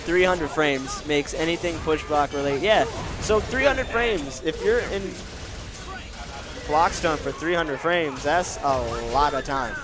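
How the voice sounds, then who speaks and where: A man doing casual stream commentary for a fighting game event, reading viewer questions and answering them. His voice is nasal, and he tries to keep it lower than usual. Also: lively intonation, a little slow, over music.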